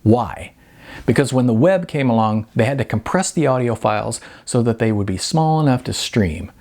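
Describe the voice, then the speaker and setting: Guy doing a fed up sarcastic young music snob, argues everything, lively pitch, audiobook dialogue. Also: normal speed, close to an everyday voice. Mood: ranting. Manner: sarcastic, argumentative. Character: sardonic, exasperated young male music snob, record store clerk.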